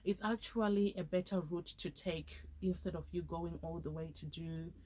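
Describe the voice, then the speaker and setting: An earnest young woman in her twenties from Zimbabwe tells her story as an interview guest. She speaks in a fairly low voice and brings quoted conversations to life as she goes. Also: steady tone, moderate pace, a little mellow. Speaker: guest, accountant. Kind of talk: interview answers.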